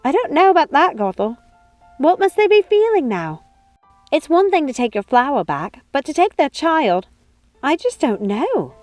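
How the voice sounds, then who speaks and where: Woman voicing a fretful, high-pitched old housekeeper woman. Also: very animated, normal speed, a little mellow, no room sound, faint music behind. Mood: doubtful, worried. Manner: aghast questioning, folksy exclamations. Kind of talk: storybook character voice.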